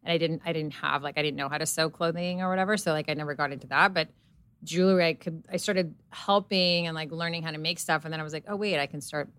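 Woman guest, middle-aged, low and smooth, loose filler-heavy storyteller, dry sound. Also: steady tone, fast talker, fairly bright tone. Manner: rambling, filler-heavy storytelling with quoted inner thoughts. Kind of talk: casual chat.

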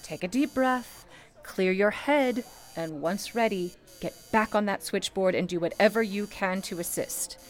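A woman's voice: medium pitch, a little hiss or hum, natural voice. A woman playing a composed, motherly switchboard supervisor, formal and authoritative, in an old-time radio play. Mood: earnest, motherly. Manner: formal, measured, authoritative, coaxing.

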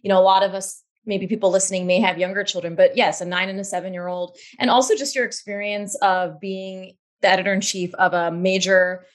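A woman hosts a podcast interview with open enthusiasm. She speaks effusively and conversationally, in a mid-range pitch. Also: steady tone, fairly quick, hardly any pauses. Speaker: podcast host.

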